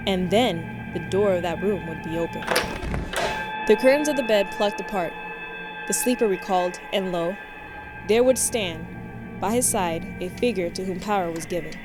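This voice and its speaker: A woman's voice: fairly low pitch, normal speed, music in the background. A woman reading literary narration for a radio play in a formal storytelling manner.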